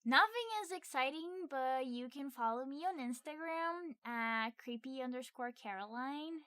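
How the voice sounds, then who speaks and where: Higher-voiced woman co-host, very dry recording. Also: unhurried, a bit bright, no room sound. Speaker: podcast co-host. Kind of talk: casual film review podcast chat.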